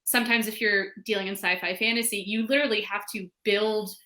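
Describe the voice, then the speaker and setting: A woman's voice: mid-range voice, steady tone, brisk, bright, forceful tone. A woman panelist explaining things casually and thoughtfully, stressing key words as she goes. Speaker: panelist, fiction podcast creator.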